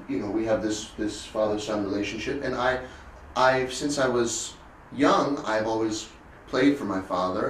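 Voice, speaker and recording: Man, 20s, low register, earnest interviewee with halting restarts; echoing room sound. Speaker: concert cellist, interviewee. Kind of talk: conversational interview about music. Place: elegant indoor sitting room. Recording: roomy, distant mic, faint noise.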